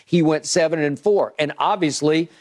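A man hosting a sports debate, musing aloud and reciting records in a measured way. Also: a little high, darkish tone. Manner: measured, musing, recounts numbers. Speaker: host.

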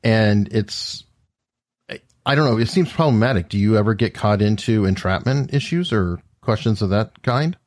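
A man's voice: fairly low pitch, lively intonation, moderate pace, darkish tone, dry recording, clean studio sound. A man from Arizona hosting an interview, asking questions hesitantly with restarts and light laughs. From Tucson, Arizona, USA. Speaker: podcast host.

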